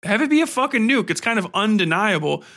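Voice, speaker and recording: A man's voice: high-pitched, sing-song, quick pace, pushed and bright. A man hosting a podcast in a casual, profanity-laced review style, blunt, exasperated and sarcastic. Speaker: podcast host. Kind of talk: casual ranting film review.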